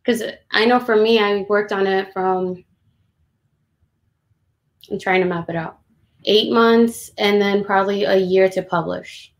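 A young woman speaks in a casual, chatty way at an average pitch. She sounds like an illustrator in informal conversation, recorded indoors at home on a video call. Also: fairly even intonation, a little slow, long pauses. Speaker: children's book illustrator. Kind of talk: casual conversational book talk. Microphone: video call, computer at desk distance.

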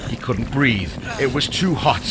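A man reading a scripted fantasy audiobook in a dramatic, deliberate storytelling manner. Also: medium pitch, expressive, background noise. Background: music under the voice.

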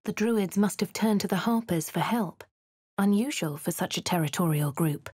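An actress playing a posh, composed fantasy adventurer in a video game, with a British accent and a refined, measured, dramatic delivery. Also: female voice, fairly low pitch, a little mellow, slightly rough, no echo, studio quality. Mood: measured, wary. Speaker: voice actor for a video game player character. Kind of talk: scripted game voice lines and narration.

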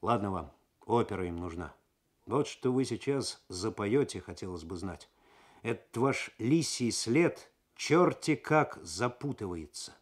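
A man reads the part of a senior police detective in a radio story. He is brisk and gruff, with a businesslike, understated way of talking. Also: on the low side, expressive. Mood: grumbling.

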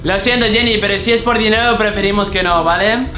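A woman's voice: medium pitch, a little fast. A woman with an accent from Spain, casually hosting a casting and repeating answers back in a chatty way. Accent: Spanish from Spain. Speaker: host running a casting. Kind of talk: casual chat, interviewing and reacting. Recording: roomy echo, music behind.

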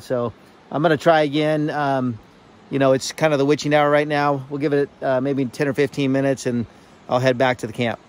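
A man filming himself fly fishing outdoors by a river, talking casually with many fillers and restarts. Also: mid-range voice.

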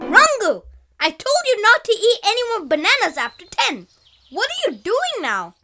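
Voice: very high-pitched, wildly swooping pitch, moderate pace, full character voice. An actor voicing a bossy but caring young boy in a children's educational cartoon, instructive in manner. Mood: scolding. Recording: clear children's music behind.

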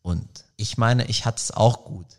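A man's voice: lowish voice, measured pace. A man, hosting a solo podcast in an earnest, reflective way, often searching for words. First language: Arabic. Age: 30s. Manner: earnest, searching for words, many fillers and restarts. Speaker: podcast host.

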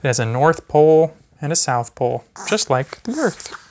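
A man in his thirties, a teacher, reading a children's book aloud with gentle, chatty asides to a baby. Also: on the high side, big pitch swings, normal speed, slight background noise. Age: 30s. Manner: gentle parent talking to a baby. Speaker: physics teacher. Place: small carpeted or wood-floored room at home, indoors. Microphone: phone camera a few feet away.

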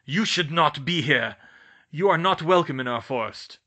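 A man's voice: mid-range voice, moderate pace, pushed and bright. A man voicing an angry, haughty centaur for a story, with a nasty, menacing tone and stern, formal speech. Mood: hostile, menacing. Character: angry, haughty male centaur, hostile to humans. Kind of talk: audiobook character voice.

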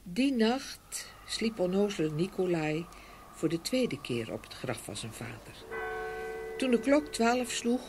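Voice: medium pitch, very animated, measured pace, a little mellow. A storyteller giving a dramatic scripted narration of a fairy tale. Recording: faint ambient noise behind voice.

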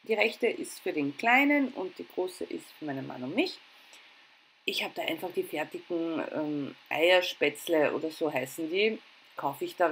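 A woman's voice: medium pitch, low-quality recording. Chatty Austrian woman over background noise. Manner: chatty, informal, self-deprecating. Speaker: home and cooking vlogger. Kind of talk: casual voice-over narration of household routine.

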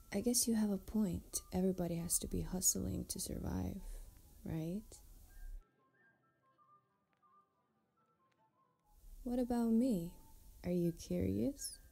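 A woman playing a flirtatious, tender pirate captain in an intimate ASMR roleplay, speaking softly and often close to a whisper. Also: mid-range voice. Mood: flirtatious, curious. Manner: soft, breathy, often near whisper, unhurried. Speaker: audio roleplay performer.

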